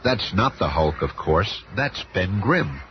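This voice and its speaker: A man's voice: low-pitched, very animated, takes it slowly, exaggerated voice. A man performing as a chummy, showy comic-book narrator in a 1970s radio drama. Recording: lo-fi 1970s radio recording, faint noise.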